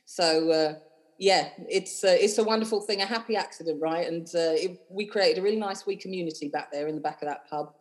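Chatty London woman, fairly low voice. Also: fairly quick. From London, England. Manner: chatty and effusive, rambling with many fillers. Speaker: cabaret host and singer, guest. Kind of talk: casual interview chat.

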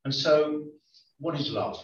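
A man preaching a conversational sermon in a church, often pausing with ums. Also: fairly high pitch. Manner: conversational, hesitant with many ums. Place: church hall. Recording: echoey lo-fi church recording from far off.

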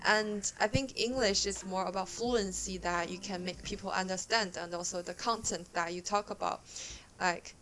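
A woman's voice: medium pitch, fairly even intonation, moderate pace, hardly any pauses, a bit bright, a little hiss or hum, low-quality recording. Young Chinese-accented woman, casual guest. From China. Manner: hesitant, frequent fillers, laughs easily. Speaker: podcast guest. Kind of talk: casual chat.

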